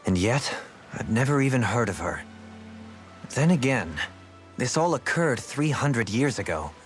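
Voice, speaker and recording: A man's voice: mid-range voice, expressive, a little slow, lightly acted. An actor playing a refined, thoughtful nobleman turned rebel in a video game dub, eloquent, formal and pensive. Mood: pensive, puzzled. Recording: game music playing behind. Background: background music.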